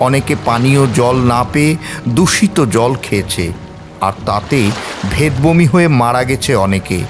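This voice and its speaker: A man's voice: mid-range voice, unhurried. A man narrating a scripted story in a theatrical, suspenseful way, with music and storm ambience behind.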